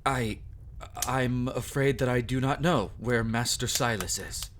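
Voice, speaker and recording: A man's voice: medium pitch, a little slow, fairly bright tone, slight background noise, lightly acted. A man playing a timid, deferential head servant in a vampire household, formal and hesitant, in an audio drama. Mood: nervous. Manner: nervous, hesitant, apologetic. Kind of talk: dramatic audio roleplay acting.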